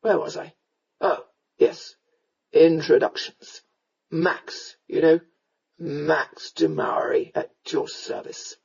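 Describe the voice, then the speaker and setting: A woman voicing a drunken, roguish Regency gentleman in an audiobook, with a slurred, rambling, drawling delivery. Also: on the high side, little pitch movement, slow, gravelly, long pauses, slightly rough, highs cut off, voice pushed down, exaggerated voice. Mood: drunken, befuddled.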